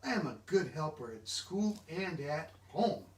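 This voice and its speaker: A man's voice: on the high side, sing-song, measured pace. Man reading aloud in an echoey room. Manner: stumbles over long words. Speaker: book reader. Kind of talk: reading a children's book aloud. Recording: echoey room, distant microphone.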